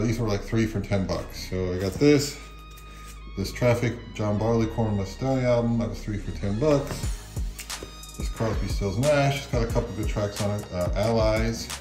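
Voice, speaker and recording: A man in his fifties with a fairly low voice talks in an offhand, conversational way. He sounds like a home vlogger reviewing gear, with music in the recording. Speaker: channel host, record collector. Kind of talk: casual product review vlog. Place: indoors at home, living room and kitchen.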